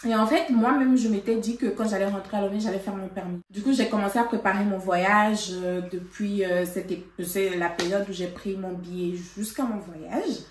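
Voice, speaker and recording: A woman vlogger telling a story in casual chat, animated and laughing, with lots of fillers. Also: medium pitch, normal speed, hardly any pauses, slight background noise. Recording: roomy, from a distance.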